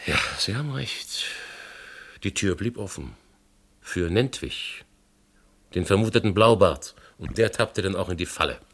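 Deep, gravelly police inspector. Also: male voice, expressive, a bit bright, full character voice. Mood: insistent, accusing. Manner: deliberate, insistent, reasoning point by point. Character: shrewd, methodical police inspector confronting a murder suspect. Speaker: actor. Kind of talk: German radio crime drama acting.

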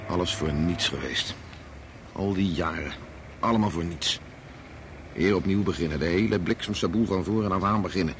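A prickly disappointed son in a dutch radio play, lowish voice, exasperated, bit of noise. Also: male voice, normal speed. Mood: bitter. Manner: argumentative, exasperated. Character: bitterly disappointed grown son, prickly and reproachful. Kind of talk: Dutch radio drama acting.

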